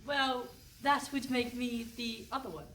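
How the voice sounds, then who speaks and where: A woman's voice: a little high, steady tone, a little slow, fairly bright tone. A woman playing a cool, confident young private investigator with a dry manner, in a comic radio play on stage. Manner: dry, matter-of-fact. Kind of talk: comic radio play before a live audience. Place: hall stage with a live audience. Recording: distant stage pickup with some noise.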